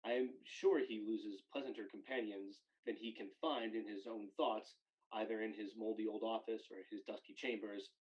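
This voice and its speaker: A man playing a good-natured, charitable Victorian gentleman in a radio play, genial and earnest. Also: lowish voice, lightly acted. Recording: slightly dull lo-fi audio.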